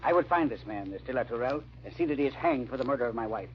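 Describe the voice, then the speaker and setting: Through a dull, band-limited vintage radio recording comes a mid-pitched male voice. An actor plays a formal, earnest baron, measured and determined, in radio drama style. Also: lively intonation, slight background noise, lightly acted. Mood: grave, determined. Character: grave, determined baron seeking vengeance for murdered wife. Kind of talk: old-time radio drama acting.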